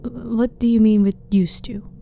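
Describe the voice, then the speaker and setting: A woman playing a blunt, curious alien scientist in a soft-spoken ASMR roleplay, speaking in a calm, clinical, slightly formal way. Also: a little high, measured pace, gravelly, slight background noise.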